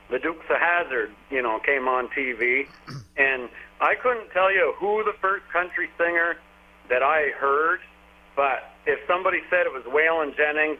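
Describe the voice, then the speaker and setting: Man, 40s, from Vermont, country singer guest; slightly high voice, rambling folksy talker, tinny phone audio. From Vermont, USA. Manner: rambling, folksy storytelling with frequent fillers. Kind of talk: casual phone interview. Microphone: telephone.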